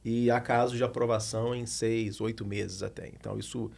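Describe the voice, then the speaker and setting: A man's voice: lowish voice, flat delivery, a little fast, creaky, crowd noise. A man with a Brazilian accent explaining as a lawyer on a podcast, repeating small words as he thinks. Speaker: immigration lawyer.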